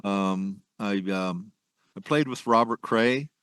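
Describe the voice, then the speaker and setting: An older man, a former musician, reminiscing at length in a casual interview, rambling with many pauses. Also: on the low side, fairly bright tone, smooth, no room sound, studio quality. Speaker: guest, musician.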